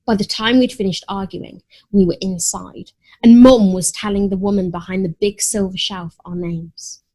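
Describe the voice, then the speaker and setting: A woman voicing a prim, dramatic, easily offended pet cat who narrates a children's story in an expressive, haughty manner. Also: medium pitch, normal speed, lightly acted. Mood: put out.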